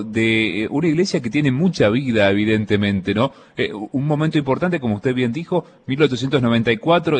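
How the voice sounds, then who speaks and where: Hesitant radio host. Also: male voice, medium pitch, breathy edge. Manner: halting, many "eh" and restarts. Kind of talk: radio interview.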